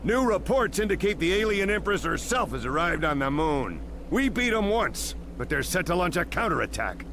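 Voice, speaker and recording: A gruff military commander in a game cutscene. The voice sits a little high for a man and swings widely as he hammers out urgent, emphatic orders. There is some noise in the recording. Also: very animated, normal speed, exaggerated voice. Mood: urgent, rallying. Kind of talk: video game cutscene acting.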